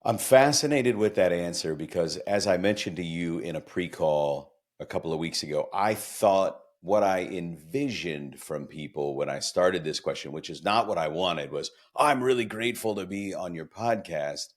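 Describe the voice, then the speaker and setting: A man's voice: low-pitched, moderate pace, few pauses. A man hosting a podcast interview, chatty and enthusiastic, asking long winding questions. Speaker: podcast host.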